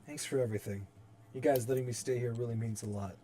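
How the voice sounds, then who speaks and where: A man's voice: mid-range voice, fast, warm tone, slight background noise, natural voice. A man voicing a troubled, polite young man carrying guilt, in a dramatic script reading. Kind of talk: dramatic script table read.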